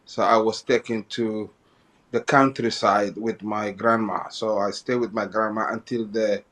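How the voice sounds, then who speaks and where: Halting man. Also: fairly low pitch, fairly even intonation, a bit bright. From Somalia. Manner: halting, repeats and restarts phrases. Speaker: guest. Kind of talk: interview, telling his life story.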